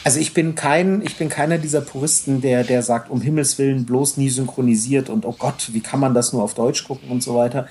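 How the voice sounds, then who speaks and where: A man's voice: mid-range voice, fairly even intonation, fairly quick, few pauses, a little mellow, a little hiss or hum. A man co-hosting a casual podcast chat, talking at length with many restarts and hesitations. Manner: rambling, frequent restarts and hesitations. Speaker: podcast co-host.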